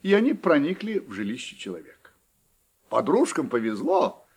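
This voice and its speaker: Husky, animated male storyteller. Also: fairly high pitch, moderate pace. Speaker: narrator. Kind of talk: scripted storybook narration.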